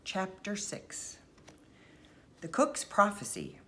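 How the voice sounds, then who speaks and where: A woman reading a bedtime story aloud as narrator, expressive and deliberate. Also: medium pitch.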